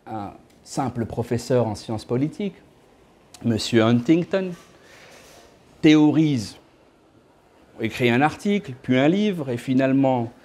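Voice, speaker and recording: A man in his thirties lectures to a small audience from his seat in an indoor room by a window, picked up by a camera a short way off. His voice is soft and warm. He keeps the tone loose and chatty, slipping in playful asides to the listeners. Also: mid-range voice. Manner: conversational, with joking asides to the audience. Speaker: guest speaker.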